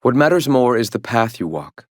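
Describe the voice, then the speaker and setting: An actor playing a zealous leader of a philosophical rebel movement in a video game, speaking in grandiose, preachy and solemn oratory. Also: male voice, mid-range voice, big pitch swings, moderate pace, dry recording, clean studio sound. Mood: serene, philosophical. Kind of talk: video game dialogue acting.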